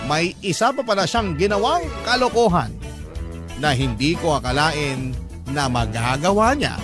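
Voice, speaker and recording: An earnest Filipino man telling his own troubled story in dramatic radio style. The voice is fairly high, with music clearly playing behind it. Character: male letter sender earnestly recounting his troubled past.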